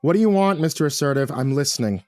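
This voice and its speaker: A man's voice: medium pitch, expressive, moderate pace, a little mellow, dry recording, lightly acted. A man plays a tough, brash woman in an audiobook story. She barks and teases with sardonic scorn and a foul mouth. The sound is dry and close. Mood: scornful, barking. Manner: barking, sardonic. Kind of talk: audiobook character voice.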